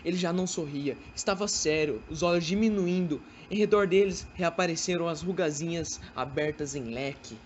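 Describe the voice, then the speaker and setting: A woman's voice: fairly low pitch, moderate pace, few pauses. Narrator, female, scripted storytelling for student radio drama. Somewhat lo-fi sound, light background noise. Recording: dull amateur recording with faint noise.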